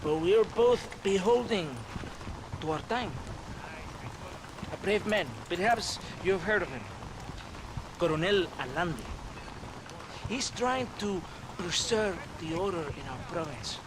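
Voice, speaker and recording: A man's voice: high voice, lively intonation, slow pace, pauses often, noisy background. A man playing a theatrical Mexican army captain in a video game, menacing yet jovial, with a Mexican accent. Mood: grave, lamenting. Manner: theatrical, swinging from mock threat to lament. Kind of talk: video game character acting.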